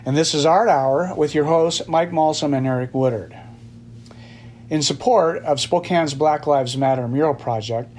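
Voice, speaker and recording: A man's voice: fairly high pitch, a little mellow. A man co-hosting a community radio arts show, friendly and encouraging, with frequent ums and uhs. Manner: friendly, with fillers and encouraging backchannels. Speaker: radio co-host.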